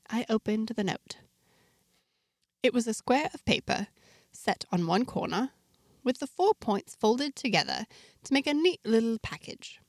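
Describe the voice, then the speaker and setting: A woman playing an earnest, wonder-struck outsider in a space fantasy, narrating her diary expressively with deliberate, dramatic pauses. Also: mid-range voice, vocal fry, no echo, studio quality. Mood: anxious curiosity. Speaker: narrator of a fiction podcast, in character. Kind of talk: scripted first-person storytelling, audio diary.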